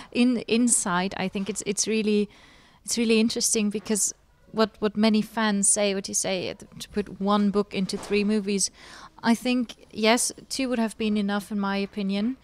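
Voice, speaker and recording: A woman interviewing on a podcast, speaking conversationally and reflectively, building her point in short, tentative phrases. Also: medium pitch.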